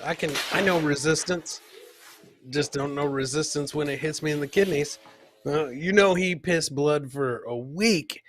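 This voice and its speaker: A man's voice: fairly high pitch, bright, forceful tone. A man hosting a comedy podcast, casually commenting on funny video clips and repeating his own catchphrases. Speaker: podcast host.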